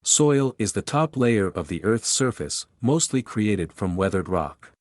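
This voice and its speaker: A man's voice: lowish voice, big pitch swings, unhurried, no room sound, clean studio sound. A man reading an informational passage aloud as scripted narration. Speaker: reader of practice passages.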